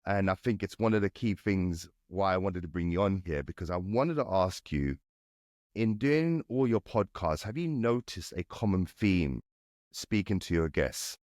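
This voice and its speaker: A man's voice: sits low, animated, moderate pace, a little mellow, no room sound, studio quality. A man hosting a podcast, speaking in a friendly, teasing way with some hesitation. His voice is slightly nasal from a cold. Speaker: podcast host.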